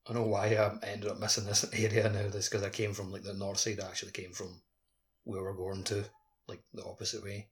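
A man's voice: on the low side, completely flat delivery, brisk. A man co-hosting a podcast with casual game chat, using a lot of filler words like "like". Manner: peppers sentences with "like". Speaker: podcast co-host.

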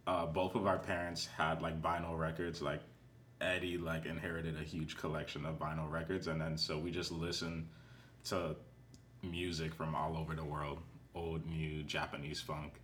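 A man's voice: extremely low pitch, little pitch movement, normal speed, fairly bright tone, a little hiss or hum. A man chatting casually as a music producer guest on a podcast. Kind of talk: casual chat.

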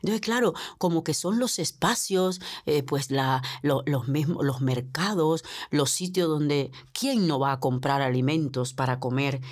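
A woman's voice: sits low, measured pace, hardly any pauses. A middle-aged Dominican woman who organizes domestic workers, answering interview questions earnestly, often repeating words as she searches for them. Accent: Dominican Spanish. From Dominican Republic. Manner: earnest, repeats words while searching, acts out quoted dialogue.

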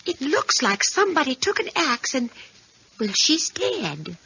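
A woman's voice: high voice, big pitch swings, measured pace, exaggerated voice. An actress playing a well-meaning, flustered neighbour woman with hesitant delivery in a 1950s radio drama. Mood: shaken. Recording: dull, noisy 1950s radio recording.

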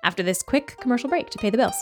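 A woman's voice: on the high side, animated, rapid-fire, loud music behind the voice. Podcast host, female, mid-range voice, casual interview delivery, informal wording.

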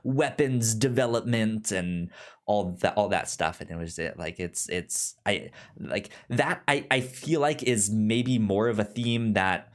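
A man in casual film discussion, stammering on syllables and often halting to rephrase as he goes. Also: lowish voice. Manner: halting, rambling, circles back to rephrase. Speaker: film podcast host.

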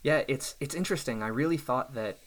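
A man in his thirties, a self-employed software developer, talking casually and thoughtfully about his work, often restarting his sentences. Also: medium pitch, brisk. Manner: thoughtful, reflective, with restarts and small laughs. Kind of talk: casual podcast conversation.

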